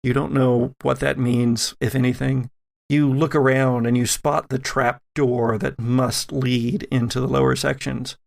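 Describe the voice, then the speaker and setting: A man working as game master, narrating a tabletop horror game in a measured way with dramatic pauses. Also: medium pitch, level tone, vocal fry, a little mellow.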